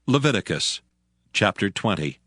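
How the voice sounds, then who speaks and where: A man narrating scripture from a script in a formal, deliberate announcing manner. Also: lowish voice, big pitch swings, pushed and bright, no room sound, clean studio sound, faint music behind.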